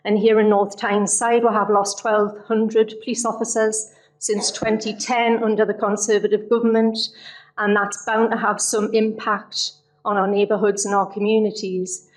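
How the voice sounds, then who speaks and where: Woman councillor, level monotone, slightly strained voice; council chamber address. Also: medium pitch, rough recording. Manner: measured, steady delivery with frequent ums. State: losing her voice. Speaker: councillor moving an amendment. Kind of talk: council meeting speech.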